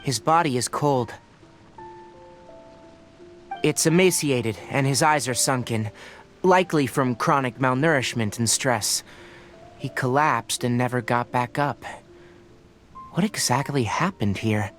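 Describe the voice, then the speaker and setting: A man voicing a serious, analytical young forest ranger scholar in a video game, speaking in a measured, precise, explanatory way. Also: mid-range voice, a bit bright, close to an everyday voice. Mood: somber. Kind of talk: English video game voice acting. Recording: game music behind the voice. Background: video game music.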